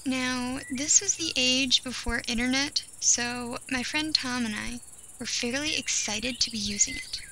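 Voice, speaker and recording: A woman narrates a first-person horror tale in a fairly high voice. Her delivery is measured and deliberate, broken by storyteller's pauses. Clear outdoor ambience of insects and birds runs behind her words. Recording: clear background noise of nature ambience.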